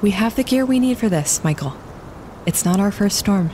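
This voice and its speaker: An actress playing a level-headed, capable woman photographer in a naturalistic audio drama, measured and matter-of-fact. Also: mid-range voice, lively intonation, warm tone, a little hiss or hum, lightly acted. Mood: assured.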